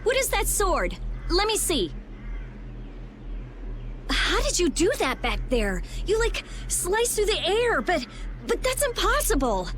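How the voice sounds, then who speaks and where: A female actor voicing a blunt, brainy teenage girl researcher in a video game, brisk and insistent. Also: very high-pitched, wildly swooping pitch, fairly bright tone, a little hiss or hum, heavily acted. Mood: urgent, insistent. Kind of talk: English video game voice acting.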